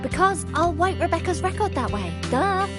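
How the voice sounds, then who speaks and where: An actress playing a cocky, playful young academy student in a video game, teasing and brash. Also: female voice, very high-pitched, big pitch swings, moderate pace. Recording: loud music behind the voice.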